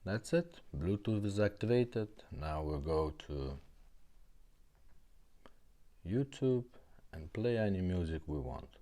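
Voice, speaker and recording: Man, very low pitch, dark soft-edged tone, casual demonstrator, plain halting phrasing. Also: expressive. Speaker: car radio repairer demonstrating a retrofit.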